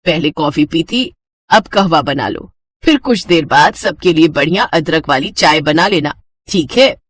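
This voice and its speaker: A woman voicing an older mother-in-law in a cartoon moral story, kindly but bossy, with a measured, instructing manner. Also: deep voice, lively intonation, no echo, clean studio sound, pitched below the performer's own voice. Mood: bossy, motherly.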